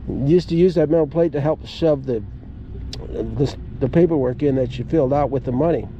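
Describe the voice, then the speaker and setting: A man with a relaxed, mellow voice of medium pitch talks casually as he walks around outdoors. He is folksy and plain-spoken, rambling from one remark to the next. A little ambient vehicle noise sits behind him on the outdoor recording. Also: a little fast, muffled recording. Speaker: amateur radio vlogger. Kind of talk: casual walk-around vlog narration. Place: outdoors at a campground.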